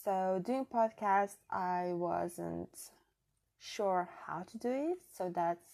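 A woman's voice: mid-range voice, slow pace, vocal fry, a little mellow. A woman from Europe speaking English as a second language with a non-native accent, hosting her own podcast in an earnest, chatty, reflective solo talk on a built-in device mic. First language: not English. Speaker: podcast host. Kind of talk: casual solo podcast monologue. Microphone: built-in device mic, no proper microphone.

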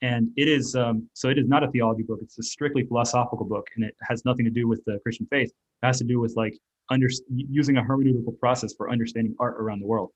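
A man's voice: medium pitch, fairly even intonation, fast, slightly rough, rough recording. A man who grew up in Germany, with German as his first language, answering interview questions as an author and artist in a rambling, reflective way full of fillers and restarts. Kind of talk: casual interview talk.

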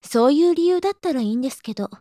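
A woman's voice: sits high, lively intonation, rapid-fire, mellow, no room sound, studio quality. A woman playing a prim know-it-all little sister in an audio drama, lecturing her hapless older brother in polite, exasperated speech. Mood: lecturing, skeptical. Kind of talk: Japanese audio drama acting.

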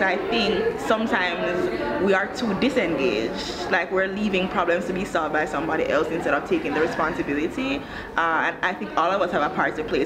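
A woman in her thirties from Jamaica, speaking articulately and explaining things in a conversational interview. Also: lowish voice. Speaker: interviewee, country director of an education social enterprise. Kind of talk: conversational interview, telling own career story. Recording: clearly noisy ambient background.

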